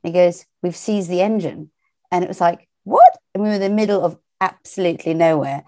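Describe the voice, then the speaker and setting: A woman with a British accent and a low voice tells stories as an interview guest. She talks chattily, with plenty of fillers and laughter. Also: brisk, mellow. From Portugal. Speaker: guest, entrepreneur. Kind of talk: casual interview storytelling.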